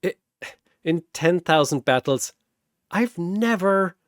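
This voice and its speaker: A man's voice: high voice, expressive, slow, no echo, pitched above the performer's own voice. Cosmic god master of ceremonies, acted by a man: grandiose, theatrical storybook figure, dry recording. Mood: astonished. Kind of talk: storybook-style character voice.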